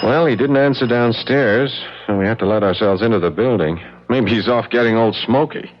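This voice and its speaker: An actor playing a brisk, wry young husband in a 1970s radio drama, colloquial and jokey. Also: male voice, medium pitch, lightly acted. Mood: businesslike. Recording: muffled, noisy 1970s radio broadcast.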